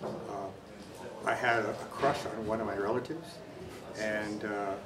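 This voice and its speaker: A man's voice: fairly low pitch, measured pace, echoey room, far from the mic, heavy background noise. A man in his sixties, talking casually with fillers in a documentary interview. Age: 60s.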